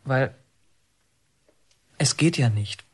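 A young man, serious and stubborn, in a radio drama. His voice is medium in pitch with a rough, husky edge, and his delivery is earnest and naturalistic. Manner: serious, terse, halting. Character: earnest, stubborn young male inmate of twenty-one. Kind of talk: naturalistic German radio drama acting and narration.

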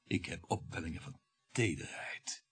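Husky, bitter, sardonic husband. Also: male voice, low-pitched, animated, normal speed. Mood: brooding, wistful. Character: bitter, sardonic French bourgeois husband reproaching his wife. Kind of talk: radio play dialogue.

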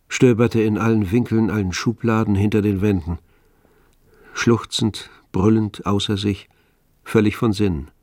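A man's voice: on the low side, a little mellow, no room sound, clean studio sound. A man narrating a literary radio drama from a script, as the storyteller.

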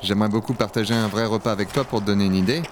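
A man's voice: fairly low pitch, steady tone, very fast, noisy background, close to an everyday voice. A man playing a rugged, wry dragon hunter of a frozen future in a science-fiction audio drama. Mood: wry, fond. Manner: gravely reflective narration, familiar offhand banter. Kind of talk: French audio-fiction acting and first-person narration.

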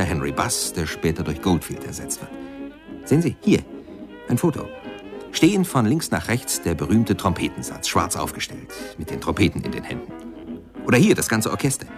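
Radio play narrator: low voice, conversational and questioning, music clearly behind. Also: male voice. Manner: conversational, musing, questioning, showing and prompting. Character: musing biographer-narrator who prompts and coaches another speaker.

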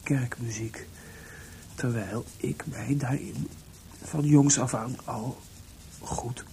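An actor playing a spirited, cheeky young composer in a theatrical Dutch radio play. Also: male voice, medium pitch, fairly even intonation, slow, gravelly, mellow, slight background noise. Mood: formal, musing.

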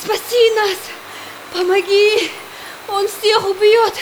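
A woman's voice: very high-pitched, fairly even intonation, takes it slowly, a little hiss or hum, poor audio. An actress playing a frightened young Yakut woman in an audio drama, urgently pleading for rescue. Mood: desperate pleading.

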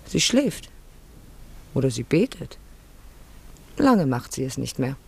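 A woman's voice: a bass voice, big pitch swings, slow pace, gravelly, husky, exaggerated voice. An actress playing a shrewd, direct woman trader in a German radio play, with a questioning, businesslike manner. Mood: curious.

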